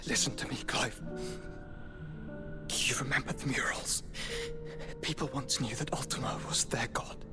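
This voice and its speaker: A man playing a gentle, dying younger brother in a game cutscene, halting and earnest, with a weak breathy voice that often drops to a whisper. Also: medium pitch, steady tone, over music, close to an everyday voice. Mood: earnest, fading. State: weak, strained, fading. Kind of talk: scripted game cutscene acting.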